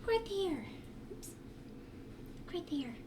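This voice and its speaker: A woman's voice: very high-pitched, big pitch swings, very slow. A woman reading a children's storybook aloud like a teacher, explaining and checking in with listeners with questions. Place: at home indoors. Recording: roomy home recording, distant mic, faint noise.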